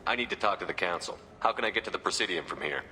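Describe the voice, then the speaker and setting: A man's voice: sits low, animated, racing, sharp tone, a little hiss or hum, full character voice. A man playing a stoic, terse military commander, the hero of a video game, with a businesslike delivery.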